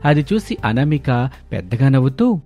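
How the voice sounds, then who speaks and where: Male narrator, dark mellow tone, scripted storytelling, faint music bed. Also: mid-range voice, sing-song, moderate pace.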